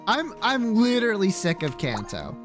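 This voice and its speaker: A man's voice: sits high, huge pitch swings, moderate pace, a bit bright, soft background music. A man livestreaming a game, chatting casually and bluntly with viewers in slangy, sweary, playfully opinionated talk. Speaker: livestreamer playing a video game. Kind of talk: casual gaming chat, reacting to viewers.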